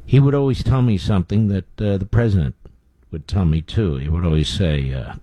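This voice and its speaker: A man's voice: low-pitched, brisk, dark tone, no room sound. A man hosting a talk radio show, giving blunt, scornful commentary with frequent fillers.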